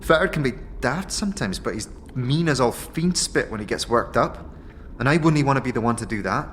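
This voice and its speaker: A man's voice: medium pitch, expressive, a little fast, a bit bright, a little hiss or hum, lightly acted. A man voicing a wary, greedy, sneering female tiefling thief in an audio drama, full of colloquial slang. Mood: gossipy, sly. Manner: colloquial thieves' slang with inverted, repeated tag phrases. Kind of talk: audio drama character acting.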